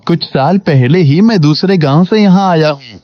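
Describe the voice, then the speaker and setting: A man's voice: a little high, normal speed, dry recording, close to an everyday voice. A man playing an honest, humble village servant in a cartoon moral story, polite, earnest and deferential. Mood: humble, trusting. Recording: dull lo-fi audio with background music.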